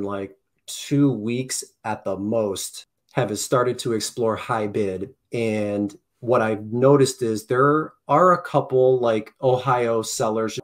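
A man in his thirties as a podcast guest, chatting casually on a video call with a headset mic, often restarting and correcting himself. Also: mid-range voice. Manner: rambling, self-correcting, many restarts. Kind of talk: casual chat. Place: home room with posters. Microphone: headset and desk mic, video call.

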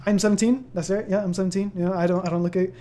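A man's voice: sits high, fairly even intonation, very fast, mellow, a little hiss or hum. A seventeen-year-old young man hosting a casual question-and-answer chat on his channel, rambling with many fillers and laughs. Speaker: channel host.

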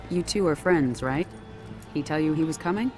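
An actress playing a stern, authoritative military general in a video game, clipped and commanding. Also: female voice, low voice, normal speed, music in the background, close to an everyday voice. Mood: firm, urgent. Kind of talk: dramatic video game cutscene acting.

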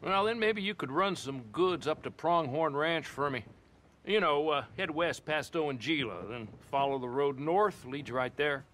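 An actor playing a kindly but doubtful older storekeeper in a western game, with an American accent and folksy, hesitant speech. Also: male voice, fairly high pitch, moderate pace, fairly bright tone, slight background noise, lightly acted. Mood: doubtful, friendly. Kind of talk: cinematic western game dialogue.

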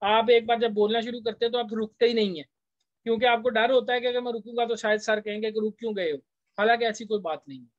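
A man's voice: extremely high pitch, steady tone, fast talker, dry recording. A man with a Pakistani accent lectures in a conversational, explanatory way, going through a text point by point. The recording is dry and somewhat dull, with a lo-fi edge. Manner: conversational, switches between languages to translate.

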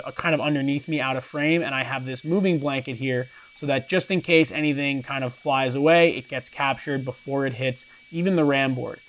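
A man in his thirties, a welder and shop owner, talking through his work in a relaxed, practical, matter-of-fact way. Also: medium pitch, low-quality recording. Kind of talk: explanatory how-to narration. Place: metal workshop, indoors.

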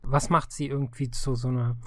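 A man acts as the interviewer in a relaxed, informal conversation. His voice has a medium pitch and is recorded very dry, with no room sound. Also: a little fast, no echo. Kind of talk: casual interview.

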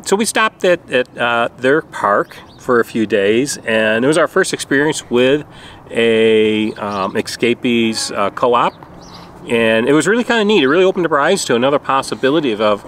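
A man's voice: mid-range voice, lively intonation, normal speed, fairly bright tone. A middle-aged man who makes RV travel videos, chatting casually to the camera outdoors. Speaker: RV travel vlogger. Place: outdoors at a campground. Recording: clear outdoor background noise.

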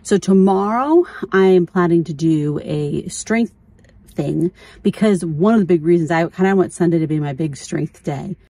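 A woman's voice: lowish voice, moderate pace, dark tone. A middle-aged woman chatting casually as a vlogger, informal and candid, often thinking aloud. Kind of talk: casual chat.

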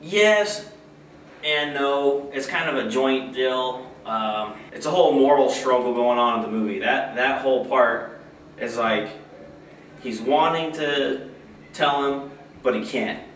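A man's voice: mid-range voice, slow, a bit bright. An easygoing young man gives his take on a film, rambling along and picking up speed when he gets into it. His voice sits in the middle range and is recorded from across an echoey small room with light hiss. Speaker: guest reviewer. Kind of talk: casual review chat. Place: small indoor studio with a poster backdrop. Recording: echoey room, mic far off, faint hiss.

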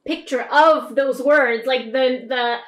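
A young woman from Quebec telling animated stories on a casual podcast, acting out what others said. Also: sits high, slow pace, reverberant. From Quebec, Canada. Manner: animated, acts out quotes of others. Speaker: podcast co-host. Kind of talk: casual chat, storytelling. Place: small room at home, indoors.

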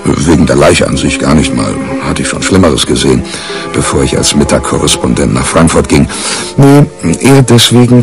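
A very low, gravelly male reporter in a radio crime play. He grumbles colloquially and mocks himself, his pitch swinging in a lively way. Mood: grim, wry. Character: disgruntled, self-mocking male newspaper crime reporter. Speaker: actor narrating as the lead character. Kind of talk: radio crime drama, colloquial first-person narration.

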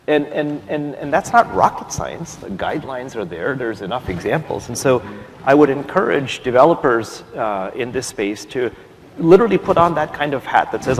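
A man on a panel discussing software development, thoughtful and dotted with fillers and restarts. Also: medium pitch. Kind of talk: conference panel answer. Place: large conference hall stage. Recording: long hall reverb, faint noise.

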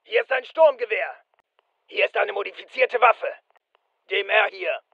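A male actor playing a brisk, businesslike fireteam soldier in a video game, giving clipped, matter-of-fact callouts. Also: high voice, animated, vocal fry, no room sound, dull audio, full character voice.

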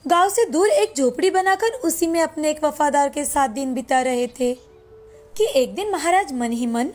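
A woman's voice: high voice, animated, moderate pace, a little mellow. A woman narrator reading a scripted folktale. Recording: music bed behind the voice.

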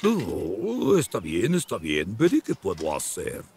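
Low-voiced, wildly swooping childish cartoon bumbler. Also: male voice, wildly swooping pitch, takes it slowly, exaggerated voice. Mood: reluctant. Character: childish, selfish, bumbling cartoon man with a teddy. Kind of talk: exaggerated children's cartoon acting. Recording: loud music bed behind. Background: cartoon music.

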